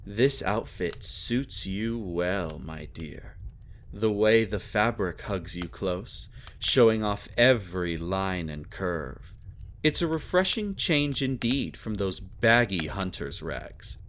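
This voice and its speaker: A man's voice: on the low side, expressive, slow pace, a bit bright, a little hiss or hum. A man playing a suave, sadistic vampire lord in a roleplay audio, speaking in a theatrical, courtly and teasing way with seductive menace. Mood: admiring, seductive. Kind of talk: scripted roleplay audio, intimate dramatic monologue.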